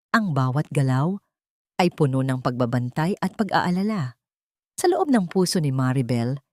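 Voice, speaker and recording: A woman with a fairly low voice reads a dramatic tale as a storyteller. Her pitch moves in lively swings over solemn, measured, emotive phrasing. The recording is dry and close. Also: no room sound. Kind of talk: dramatic scripted narration of a Tagalog story.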